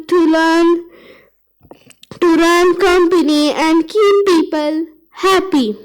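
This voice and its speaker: A very high-pitched female voice with an Indian accent delivers a prepared introduction. She speaks slowly and carefully, as if reciting, at a forum. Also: rough recording. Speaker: forum participant representing an organization. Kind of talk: prepared speech introducing an organization.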